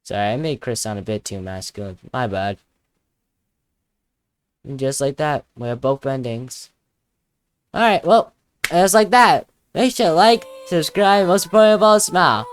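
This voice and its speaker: A woman's voice: low-pitched, expressive, moderate pace, pauses often. A woman giving casual, playful gameplay commentary as a video creator, with jokey, mock-dramatic asides. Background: music.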